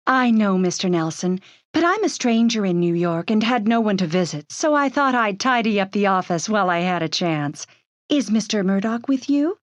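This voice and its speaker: An actress playing a sweet, adoring young secretary, demure and tender, in a comic-book drama. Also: female voice, mid-range voice, expressive, moderate pace, no echo, muffled recording, close to an everyday voice. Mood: polite. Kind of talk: dramatized comic-book read-along acting.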